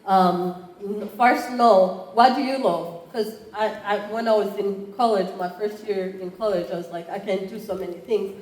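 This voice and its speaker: A woman's voice: medium pitch, normal speed, few pauses, darkish tone. A woman in her thirties from Rwanda with a Rwandan accent, giving a conversational conference talk with frequent fillers and restarts. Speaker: entrepreneurship program chair. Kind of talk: conference presentation. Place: conference room. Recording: roomy, faint background ambience.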